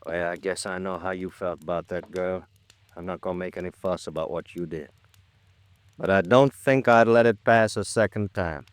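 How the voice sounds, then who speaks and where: An American man playing a blunt, folksy police lieutenant who lectures gruffly, in old-time radio drama style. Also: low-pitched, fairly even intonation, moderate pace, dry recording, lightly acted. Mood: softened, reflective. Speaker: supporting actor.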